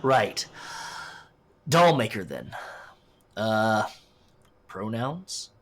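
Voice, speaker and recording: Man playing a slow-talking, anxious agent. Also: fairly low pitch, big pitch swings, exaggerated voice. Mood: nervous, dutiful. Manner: hesitant, flustered, muttering, throat-clearing. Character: anxious, underprepared male agent interviewing dangerous entities. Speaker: field interviewer. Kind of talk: scripted audio drama dialogue.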